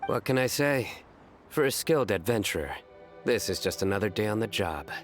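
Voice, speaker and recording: A man playing a stoic adventurer in a video game, with a serious, deadpan delivery and dry humor. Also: lowish voice, a little fast, faint music behind.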